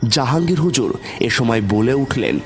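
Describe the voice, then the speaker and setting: A man's voice: mid-range voice, animated, moderate pace. A man narrating a scripted first-person horror story in a dramatic, suspenseful way. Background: background music.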